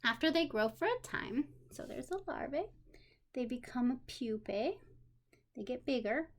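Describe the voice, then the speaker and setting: A woman teacher reading aloud to young children in an expressive manner, pausing for simple explanations and questions. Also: sits high. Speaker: special education teacher.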